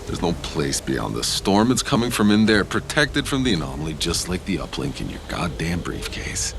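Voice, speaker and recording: A hard-bitten male soldier speaks in a very deep, gravelly voice. He is blunt and swears freely. This game cutscene has loud ambient noise behind him. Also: very animated, moderate pace, heavily acted. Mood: gruff. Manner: blunt, profane, gruff. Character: gruff hardened male soldier, blunt and profane. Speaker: game character. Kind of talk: video game cutscene acting.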